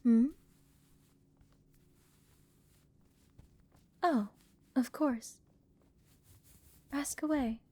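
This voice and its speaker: A woman playing a gentle, curious alien researcher in a soft-spoken ASMR roleplay, measured and reassuring, with clinical interest. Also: sits high, no echo. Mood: curious, studious. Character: gentle, curious female alien researcher examining a captured human. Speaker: voice actor in audio roleplay.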